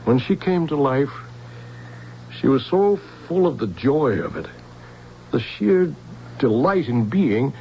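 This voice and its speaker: An actor in a radio drama playing a wistful, reflective man who mourns his wife and speaks deliberately. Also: mid-range voice, expressive. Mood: wistful, tender. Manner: slow, deliberate, reflective delivery. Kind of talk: old-time radio drama acting. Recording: dull vintage radio recording, faint hiss and hum.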